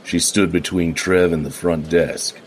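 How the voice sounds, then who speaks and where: A man narrating a noir radio play in a measured, even storytelling way. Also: deep voice, slight background noise. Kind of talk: scripted narration.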